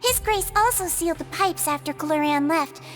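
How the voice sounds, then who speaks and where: A woman voicing a small, gentle nonhuman nurse in a video game, childlike but kindly and attentive like an older sister. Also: sits high, expressive, normal speed, faint music behind. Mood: explaining. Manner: kindly, attentive, politely explaining.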